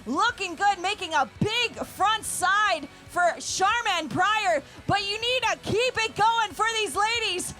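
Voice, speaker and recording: A woman's voice: very high voice, expressive, slow pace, hardly any pauses, pushed and bright, soft background music. A woman announcing a live competition, urging the crowd to cheer. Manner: hyping the crowd. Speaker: arena announcer.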